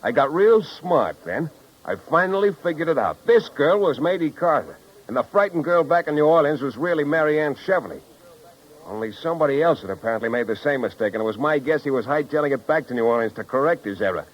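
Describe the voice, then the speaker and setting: An actor playing a wry, tough, world-weary private detective in a 1950s radio drama, with slangy, wisecracking delivery and narration. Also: male voice, a little high, animated, brisk. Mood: brooding, wry. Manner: hard-boiled, wisecracking, slangy. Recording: dull vintage 1950s radio recording.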